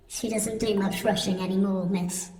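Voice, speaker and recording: A woman's voice: mid-range voice, fairly even intonation, moderate pace, dark tone, roomy sound, natural voice. An actor, heard as a woman, playing a small, humble, clumsy goblin-like servant, meek and apologetic, in a radio play. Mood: gentle, humble.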